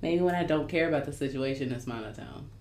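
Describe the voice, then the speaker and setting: A woman's voice: low-pitched, fast talker, darkish tone. Laughing, teasing woman in an echoey room. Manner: playful, teasing, laughs often. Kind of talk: casual chat.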